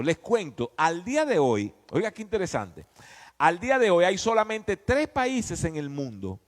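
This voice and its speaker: A man preaches in a fairly high, very animated voice. He teaches emphatically and conversationally, throwing questions out to his listeners. Long reverb from the hall trails his words. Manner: emphatic, didactic, often prompting listeners with questions.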